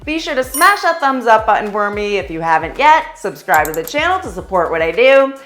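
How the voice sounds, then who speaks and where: A woman fitness instructor giving calm step-by-step exercise cues, her voice slightly hoarse from singing at a concert. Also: medium pitch, a little fast, soft background music. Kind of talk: guided workout cueing.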